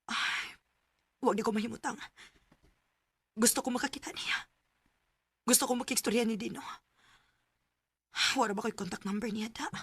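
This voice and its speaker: A woman's voice: fairly high pitch, fairly even intonation, normal speed, pauses often, a bit bright, a little hiss or hum, natural voice. An actress playing a lovestruck young woman in a radio drama, emotive and earnest, with a Cebuano Filipino accent. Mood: confused, longing. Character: lovestruck young woman pining for her supposed cousin. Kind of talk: Cebuano radio drama acting with first-person narration. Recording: radio drama with music bed.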